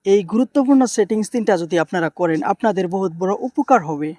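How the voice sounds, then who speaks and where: A man's voice: high voice, expressive, fairly quick, dark tone. A man narrating a step-by-step phone tutorial in an instructional way, repeating phrases for emphasis. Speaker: tech tutorial host.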